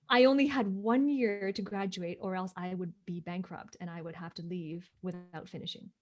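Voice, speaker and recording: A woman's voice: lowish voice, steady tone, normal speed, darkish tone. A woman from Hong Kong, not a native English speaker, telling her story as an interview guest, emphatic and often asking "right?". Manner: emphatic, repeats "right?" for agreement.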